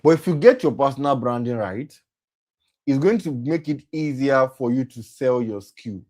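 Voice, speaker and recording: A young man leads a motivational talk for young people at a mid-range pitch. He circles back over his points, corrects himself mid-sentence, and keeps asking his listeners "right?". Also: lively intonation, normal speed, a little mellow. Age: young adult. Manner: repetitive, self-correcting, often asks "right?". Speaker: program host and speaker.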